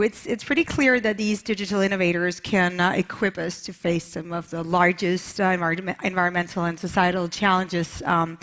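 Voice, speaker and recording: A woman's voice: mid-range voice, a bit bright. A woman moderating a panel and presenting an award on stage, upbeat with frequent fillers. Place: large conference hall stage.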